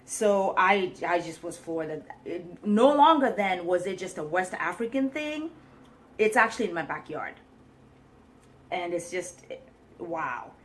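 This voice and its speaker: A young woman from Kenya talking earnestly to her viewers in a casual, reflective vlog, with many pauses and restarts. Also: on the low side, sing-song. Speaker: vlogger. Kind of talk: casual vlog talk to camera.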